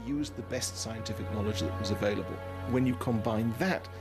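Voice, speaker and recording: A man's voice: fairly low pitch, moderate pace, music in the background. A man speaking confidently as an expert in a documentary interview.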